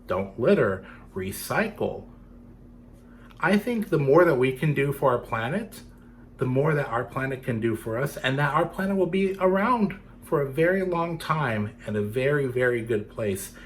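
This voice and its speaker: Male children's storyteller, medium pitch, dramatic careful phrasing, speaking to young listeners over light ambience. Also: very animated, moderate pace, slight background noise. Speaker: storyteller for children's theatre series. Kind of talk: expressive children's storybook reading.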